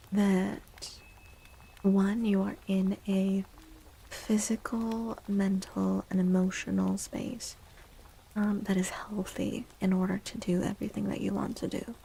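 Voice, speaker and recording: A woman speaking softly and breathily, often near a whisper, in a gentle ASMR livestream style. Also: medium pitch, gravelly, slight background noise, rough recording. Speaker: streamer and tarot reader. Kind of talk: soft-spoken ASMR livestream chat.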